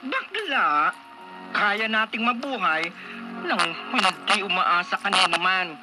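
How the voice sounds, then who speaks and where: Casting: sassy, supportive effeminate friend, Filipino accent, animated radio soap delivery, prominent music. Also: male voice, high-pitched, slow, low-quality recording. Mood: encouraging. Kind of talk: Filipino radio drama acting.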